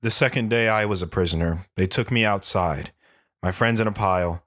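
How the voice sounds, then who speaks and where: An actor playing a bitter, haunted older army sergeant telling his story in a brooding, halting way for an audio drama. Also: on the low side, fairly bright tone, no room sound, studio quality, close to an everyday voice. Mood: grim. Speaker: dramatic monologue narrator.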